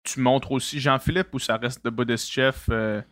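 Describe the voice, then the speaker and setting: A man's voice: mid-range voice, fairly even intonation, normal speed, a bit bright, no echo. A man with a Québécois accent hosting a podcast, asking questions in a hesitant way, with lots of fillers and restarts. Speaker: podcast host. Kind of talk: casual interview.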